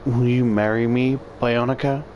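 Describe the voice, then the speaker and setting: A man's voice: medium pitch, steady tone, normal speed, a little mellow, highs cut off, slight background noise, natural voice. A man voicing a devoted, possessive young girlfriend in a visual novel, with earnest romantic lines. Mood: tender.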